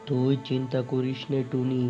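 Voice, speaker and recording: An actor voicing a wise, commanding old owl healer in a cartoon, explaining solemnly in a gravelly voice. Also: medium pitch, completely flat delivery, normal speed, warm tone, close to an everyday voice. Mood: grave, instructing. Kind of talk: cartoon voice acting. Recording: dull, lo-fi track under music. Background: plucked string music.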